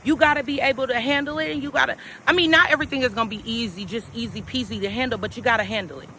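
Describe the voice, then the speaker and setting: A loud, animated woman talks straight to her viewers in a slangy, swearing motivational vlog. Her voice sits high and bright. Faint traffic noise comes from outdoors behind her. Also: racing. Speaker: vlogger. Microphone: camera without microphone.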